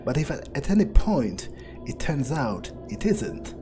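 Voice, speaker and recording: A man playing an arrogant, power-hungry vampire in a video game roleplay, musing aloud in a deliberate, improvised monologue. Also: medium pitch, very animated. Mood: arrogant, threatening. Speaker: gameplay video creator role-playing in character. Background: music.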